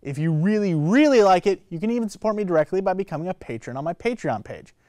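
A young adult man hosting a casual software tutorial, thinking aloud in an informal, playful, rambling way. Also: sits high, lively intonation, quick pace. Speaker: tutorial host. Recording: clear background noise.

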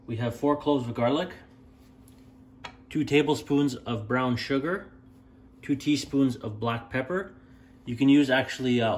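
A young man hosting a home cooking channel, talking through a recipe step by step in a relaxed, plain-spoken way with small fillers and self-corrections. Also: medium pitch, a little hiss or hum. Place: home kitchen at the stove.

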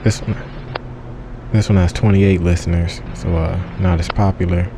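A man's voice: low-pitched, fairly even intonation, normal speed. A man giving a casual, unscripted app review in a slangy, joking, offhand way. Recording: clearly noisy background.

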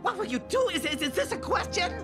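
An actor voicing a tiny, imperious female fashion designer, bossy, dramatic and dismissive, in an animated game. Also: extremely high pitch, fast, music in the background. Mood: scolding. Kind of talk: animated film-style game dialogue.

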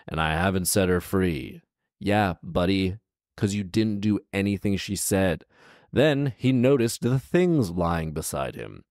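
A man's voice: low-pitched, a little mellow, dry recording. A man in his thirties reading a fairy tale aloud and breaking off for casual, incredulous, bantering commentary, speaking close into a handheld microphone. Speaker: storyteller and host. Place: small living room, indoors. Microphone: handheld microphone held close.

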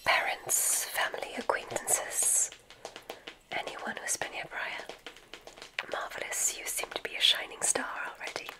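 A woman whispering in a breathy, gentle way, playing a gracious witch dean in an ASMR roleplay. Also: poor audio. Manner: gentle, drawn-out, effusively complimentary. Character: gracious, welcoming witch dean of a magic school.

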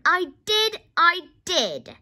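Storybook grandson, performed by a woman: high, bright, earnest, calling out and insisting. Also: wildly swooping pitch, drawn-out words, exaggerated voice. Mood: insistent, protesting. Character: earnest young grandson, protesting and coaxing.

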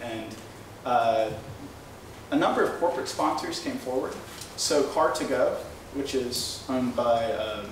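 Male American keynote speaker, halting conference delivery, heavy room echo with faint noise. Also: mid-range voice. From United States. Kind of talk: conference presentation with anecdotes. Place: indoor conference room at a lectern. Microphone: handheld microphone. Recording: echoey room, distant pickup, faint noise.

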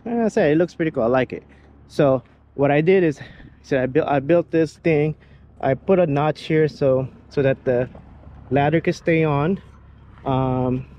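A man's voice: fairly high pitch, mellow. A man giving a casual, rambling walkaround of his own vehicle outdoors, with lots of fillers and restarts. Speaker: vehicle builder showing his truck. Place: outdoors beside a parked vehicle. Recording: faint outdoor background noise.

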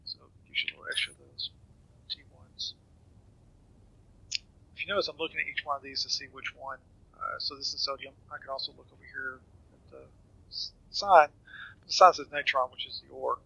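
A man talks through a game tutorial in a very bright, forward voice with a husky, raspy grain. His delivery is casual and unscripted, with hesitant pauses and phrases that trail away. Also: medium pitch, animated, low-quality recording. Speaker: gamer giving a tutorial. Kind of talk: casual game walkthrough commentary.

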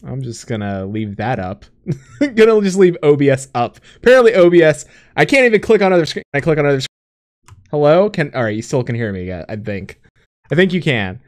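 A man's voice: on the high side, sing-song, fairly quick, dry recording, studio quality. A man in his thirties co-hosting a casual gaming stream, rambling with lots of fillers, laughing and swearing as he goes. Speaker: podcast co-host. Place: home room with green screen.

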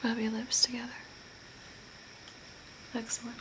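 A young woman playing an eerie, calm zombie makeup artist in a soft breathy whisper, gently giving instructions in an ASMR role play. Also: a little high, close to an everyday voice. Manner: slow, deliberate, gently instructing. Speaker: ASMR host. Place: small room at home by a window. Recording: noisy home recording, background hiss. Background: clear background noise.

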